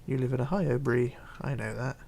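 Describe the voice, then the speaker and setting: Streamer guy, gravelly voice, rambly chat-reading, light hiss behind. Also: medium pitch, steady tone, darkish tone. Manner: rambling, chatty, halting with fillers and restarts. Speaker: Minecraft livestreamer. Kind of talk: casual livestream, reading chat aloud.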